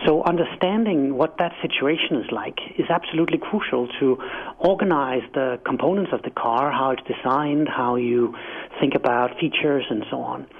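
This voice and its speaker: A man's voice: mid-range voice, normal speed. A middle-aged man from Denmark with a Danish accent, a book author answering radio interview questions thoughtfully, with many fillers and restarts. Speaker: author and guest. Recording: phone-line guest audio.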